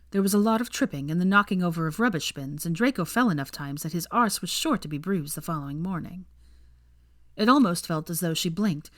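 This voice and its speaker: A woman's voice: lowish voice, no echo, clean studio sound. A woman reading a story aloud as scripted audiobook narration. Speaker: fan fiction audiobook narrator.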